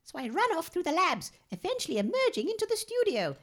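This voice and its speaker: A weary, blunt mutant woman, as an actress plays her in a comedy audio drama. The voice is very high and lively, but she delivers lines in a dry, matter-of-fact way. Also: quick pace, full character voice.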